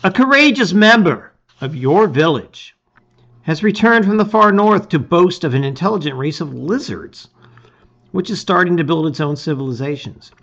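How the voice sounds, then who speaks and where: Expressive man, slightly dull recording. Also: on the high side, normal speed. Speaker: host reading a gamebook aloud. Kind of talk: casual intro and dramatic read-aloud of storybook narration.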